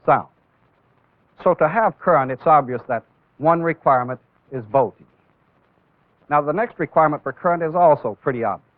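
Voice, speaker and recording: A man with a somewhat high-set voice teaches in the manner of an old training film. He explains deliberately, one step at a time, and puts weight on key terms. The sound is thin and phone-like, with a dry, echo-free closeness. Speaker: instructor in a military training film. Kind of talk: instructional demonstration lecture.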